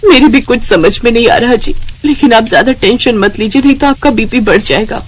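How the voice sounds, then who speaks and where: A woman's voice: a little high, fairly quick, husky, pitched above the performer's own voice. A woman voicing a soothing, supportive village wife in a cartoon story. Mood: reassuring.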